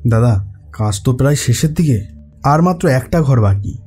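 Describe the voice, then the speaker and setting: A man's voice: mid-range voice, lively intonation, moderate pace, dark tone, faint music behind, lightly acted. An actor voicing a respectful, hesitant male renovation supervisor in a horror cartoon, uneasy and deferential. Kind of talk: Bengali horror cartoon dialogue.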